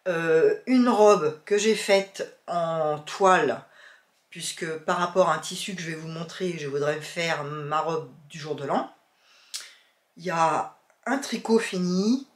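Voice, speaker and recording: A woman's voice: on the low side, roomy sound. A woman with a cold chatting casually to her viewers about sewing and knitting, hesitant and full of fillers. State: has a cold, sniffling. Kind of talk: casual chat to camera.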